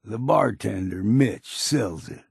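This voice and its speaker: An actor playing a gruff, cynical old wilderness guide in a video game, speaking in a gravelly, husky voice with a curt, folksy manner. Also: male voice, lowish voice, lively intonation, slow pace, a little mellow, no echo, clean studio sound, exaggerated voice. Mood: gruff, dismissive. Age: older. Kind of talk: video game character dialogue.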